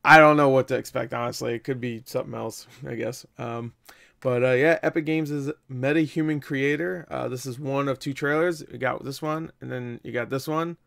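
A man's voice: medium pitch, fairly even intonation, normal speed, gravelly, a bit bright. A young man giving casual reaction commentary, exclaiming, swearing and tossing in joking asides. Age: young adult. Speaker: reaction video host. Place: small indoor room at home.

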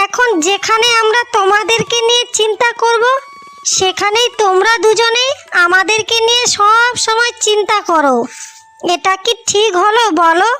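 A woman as a very high, earnest young daughter. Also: sharp tone, heavily acted. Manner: earnest, chiding. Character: dutiful, caring young elder daughter, gently scolding. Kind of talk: cartoon dialogue.

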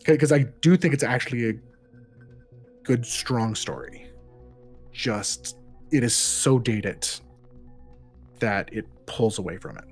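A man's voice: mid-range voice, slow, long pauses, soft background music. A man co-hosting a podcast, casually discussing films and thinking aloud in loose, chatty fragments. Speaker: podcast co-host.

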